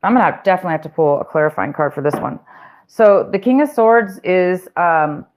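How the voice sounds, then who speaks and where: Woman, tarot reader, lowish voice, chatty and meandering, voice getting worn. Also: animated, fairly quick, darkish tone. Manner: chatty, rambling with fillers and restarts. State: losing her voice. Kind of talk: casual live tarot reading.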